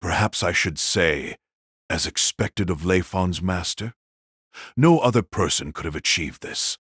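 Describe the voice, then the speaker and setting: A man performs a mocking warrior in an audio drama. The voice is deep and rough-edged, recorded dry. He talks down to his foe with measured, cool condescension. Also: expressive, fairly bright tone, no echo, heavily acted.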